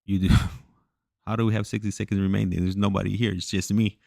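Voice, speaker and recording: A man chatting casually as he hosts a livestream, halting, repeating himself and losing his thread. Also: on the low side, little pitch movement, creaky, mellow, dry recording, studio quality. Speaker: livestream host. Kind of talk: casual rambling livestream chat.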